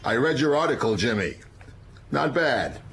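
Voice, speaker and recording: An actor playing a hard-nosed, middle-aged retired homicide detective, blunt and matter-of-fact in a radio drama. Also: male voice, medium pitch, sing-song, moderate pace, fairly bright tone, faint music behind. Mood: dry, wary.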